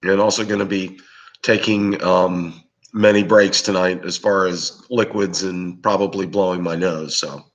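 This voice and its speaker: Raspy, hoarse man, low voice. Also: fairly even intonation, a little slow, low-quality recording. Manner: rambling, with many fillers and restarts. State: hoarse from bronchitis. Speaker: livestream show host. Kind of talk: casual live-stream chat with viewers.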